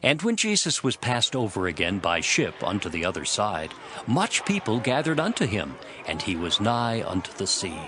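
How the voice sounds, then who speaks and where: A man's voice: on the low side, wildly swooping pitch, moderate pace. A man narrating scripture as a dramatized story, in a dramatic storytelling manner. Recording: loud music behind the voice. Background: music.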